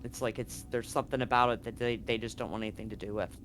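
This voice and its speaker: A man's voice: lowish voice, steady tone, fast talker, fairly bright tone. A man running a tabletop horror game as game master, narrating loosely and correcting himself as he goes. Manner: loose, conversational, self-correcting.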